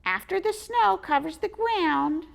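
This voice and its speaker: A woman voicing an angry little male squirrel with a squeaky voice. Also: expressive, a little slow, gravelly, full character voice. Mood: indignant. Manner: indignant, shouting.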